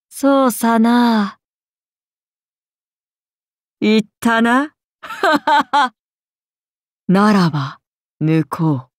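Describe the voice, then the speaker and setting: A woman's voice: mid-range voice, dry recording, studio quality. A woman voicing a haughty, amused and predatory ancient serpent-god oni in a game, speaking in archaic, imperious, languid phrases. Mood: menacing, gleeful. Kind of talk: Japanese game character voice lines.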